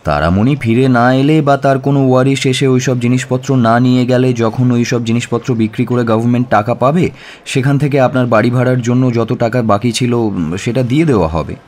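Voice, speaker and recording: An actor playing an authoritative police inspector who narrates an audio story in a measured, deliberate way. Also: male voice, medium pitch, a little mellow, natural voice. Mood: firm, official. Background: music.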